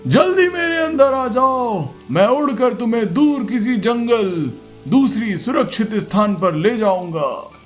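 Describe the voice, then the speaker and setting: Very high-pitched magical talking tree, man acting. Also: animated, measured pace, faint music behind. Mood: urgent, protective. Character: kindly magical talking tree, grateful and protective. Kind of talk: Hindi children's cartoon dubbing.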